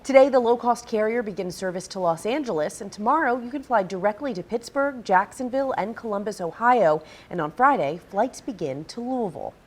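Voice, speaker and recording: A woman's voice: medium pitch, lively intonation, brisk, few pauses. A woman with an American accent reading scripted local television news as a morning anchor.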